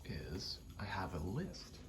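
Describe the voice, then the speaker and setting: Very deep, whispery man rambling softly. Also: sing-song, takes it slowly. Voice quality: breathy, often dropping into a whisper. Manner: rambling, casual, sweary, self-deprecating. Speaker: video creator rambling to camera. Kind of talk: soft-spoken ASMR ramble. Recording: clear background noise, rattling vent. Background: rattling vent noise.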